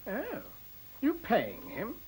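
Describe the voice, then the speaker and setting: A man's voice: sits high, wildly swooping pitch, takes it slowly, darkish tone, slight background noise, heavily acted, low-quality recording. A man playing a sceptical, wry biologist in a radio play, questioning with precise words.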